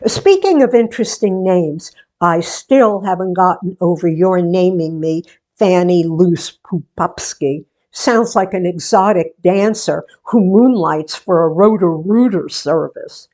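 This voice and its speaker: A middle-aged woman playing a wry, chatty bookish woman, musing and deliberate in a theatrical radio-play monologue. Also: lowish voice, dark tone, lightly acted. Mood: musing, wistful. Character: wry, chatty grown woman running a book exchange.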